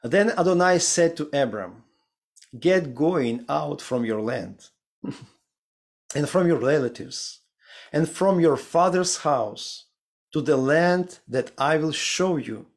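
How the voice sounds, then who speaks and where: A man in his thirties with a Ukrainian accent, preaching a sermon and reading scripture slowly and deliberately over a video call from a home study. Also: on the high side, animated. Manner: slow, deliberate reading with careful pauses. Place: home study with bookshelves, indoors. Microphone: video call webcam.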